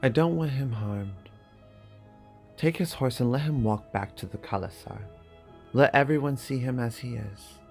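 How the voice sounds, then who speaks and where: A man voicing a gentle but newly commanding young queen, measured and earnest, in a dramatic fantasy reading. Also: medium pitch, gravelly, soft background music.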